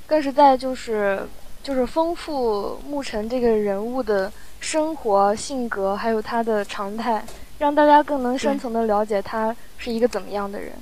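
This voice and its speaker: A woman's voice: fairly high pitch, normal speed, warm tone, poor audio. A woman taking part in a casual panel discussion about an audio drama.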